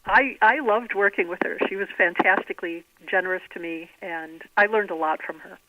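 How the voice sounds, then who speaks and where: Middle-aged female author, interviewed by phone; low-pitched, articulate and discursive, thin narrowband sound. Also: a little fast, dry recording. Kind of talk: conversational interview answers. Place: remote call into a podcast. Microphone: telephone. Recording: narrow tinny phone line.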